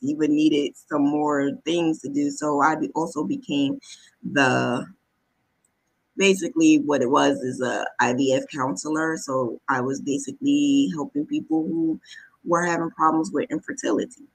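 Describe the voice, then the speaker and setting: A woman's voice: low-pitched, moderate pace, creaky, slightly rough, low-quality recording. A woman vlogger telling a personal story in a casual, conversational way, often backtracking as she goes. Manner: conversational, backtracking, acts out quoted dialogue.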